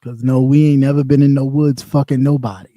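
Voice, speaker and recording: A man's voice: medium pitch, level tone, brisk, mellow, dry recording, clean studio sound. A man with a light voice, ranting bluntly and casually as a livestream host, repeating himself as he goes. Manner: blunt and sassy, talks in loops and repeats himself. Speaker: live stream host; former radio host.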